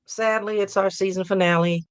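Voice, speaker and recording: A hoarse woman hosting a live sports fan talk show, upbeat and rambling, repeating her hype lines. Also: medium pitch, fairly even intonation, moderate pace, no room sound. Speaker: host.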